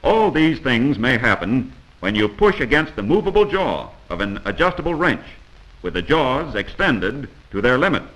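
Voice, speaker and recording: A man's voice: mid-range voice, very animated, normal speed, a bit bright, poor audio. An American man narrating a scripted 1940s instructional film in a didactic, admonishing manner, heard on an old film soundtrack.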